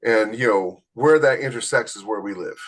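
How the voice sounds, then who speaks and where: A man's voice: on the low side, moderate pace. A man chatting casually as a podcast co-host, giving writing advice in a blunt, emphatic, opinionated way.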